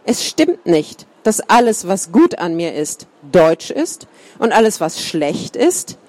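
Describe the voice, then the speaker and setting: A woman reading a first-person account aloud in a calm, measured way. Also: lowish voice. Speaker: reader.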